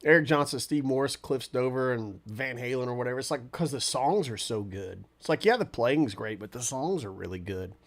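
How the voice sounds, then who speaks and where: A man hosting a casual livestream, answering chat in a rambling, relaxed way with a stuffy nose and lots of fillers. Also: medium pitch, moderate pace, hardly any pauses.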